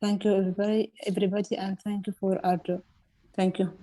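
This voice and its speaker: Mellow, flat-toned woman from Oman. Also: medium pitch, fast talker, rough recording. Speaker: food safety worker, training participant. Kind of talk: formal self-introduction.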